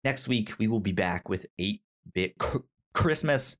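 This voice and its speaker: A man's voice: on the low side, lively intonation, a little slow. A man hosting a podcast in casual conversation, often stuttering and repeating sounds and syllables as he talks. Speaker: podcast host.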